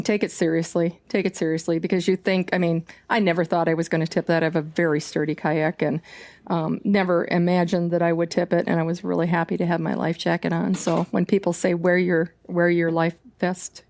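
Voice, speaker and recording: Loud chatty woman, kinda low voice, really emphatic, interview guest. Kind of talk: casual interview.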